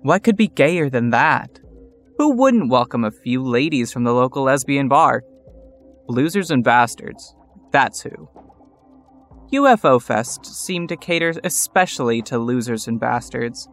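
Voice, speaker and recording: A man's voice: medium pitch, lively intonation, measured pace, a bit bright. Wry male storyteller over music. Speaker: narrator. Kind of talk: scripted dramatic story narration. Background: music score.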